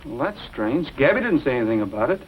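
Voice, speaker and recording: An actor playing a kindly, steady young cowboy hero in a 1940s western drama, on a dull, noisy recording. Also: male voice, mid-range voice, quick pace, natural voice. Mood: polite, surprised. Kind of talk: 1940s film and radio western acting. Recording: dull lo-fi old recording, background noise.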